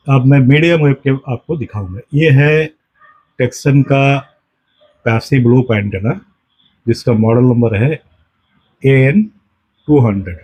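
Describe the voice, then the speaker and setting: A man giving a casual hands-on demonstration, speaking haltingly and restating his phrases. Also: mid-range voice, darkish tone. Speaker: host demonstrating a radio antenna kit. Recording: dull, muffled lo-fi sound.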